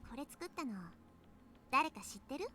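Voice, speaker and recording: Breezy young time traveler girl, anime game voice, high n fast. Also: lively intonation, bright, forceful tone, slight background noise. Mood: explaining. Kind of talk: Japanese visual novel voice acting.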